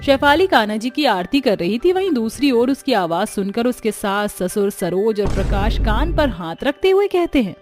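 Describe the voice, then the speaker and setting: High-voiced woman narrating a story. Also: big pitch swings, normal speed, hardly any pauses, darkish tone, soft background music.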